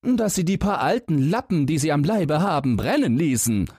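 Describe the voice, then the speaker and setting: A man's voice: on the high side, big pitch swings, normal speed, a little mellow, husky, no room sound, clean studio sound, voice pushed up, heavily acted. A man voicing a naive, simple young lad in a fairy tale, with a guileless, wistful way of talking. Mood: indifferent.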